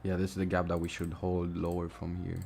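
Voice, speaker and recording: A man's voice: low-pitched, completely flat delivery, fairly quick, gravelly, a little mellow. A young man with a Romanian accent chatting casually through a live trading stream, thinking aloud and swearing freely. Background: music and mouse clicks.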